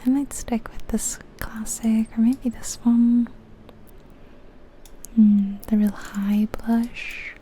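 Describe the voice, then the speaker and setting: Slow, softly whispering ASMR woman. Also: fairly high pitch, little pitch movement, a little hiss or hum. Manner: whispering, musing to herself. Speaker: ASMR creator. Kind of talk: whispered ASMR game commentary.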